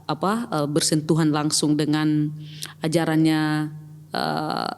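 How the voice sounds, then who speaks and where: A woman's voice: sits low, level tone, takes it slowly, darkish tone, clean tone. A middle-aged woman with a Batak accent, telling her own story as a podcast guest, reflective and hesitant, with many fillers and restarts. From Batak, North Sumatra. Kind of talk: personal testimony in casual chat. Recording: long reverb with faint music.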